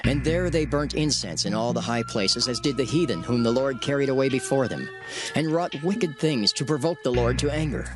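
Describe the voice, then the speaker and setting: A man giving solemn, emphatic, measured dramatic narration of scripture. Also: mid-range voice. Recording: loud music under the narration.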